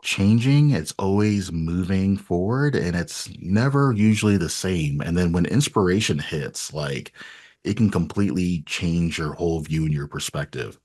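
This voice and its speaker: A man hosts a podcast, his voice sitting low. He talks in a loose, casual way and scatters "you know" through his sentences. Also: lively intonation, normal speed, a little mellow, slightly rough. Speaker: podcast host. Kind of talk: casual interview chat.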